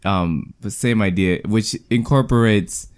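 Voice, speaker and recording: Low-voiced man chatting animatedly. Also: smooth. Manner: animated, quick bursts, joking asides. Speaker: podcast co-host. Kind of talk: casual film review chat.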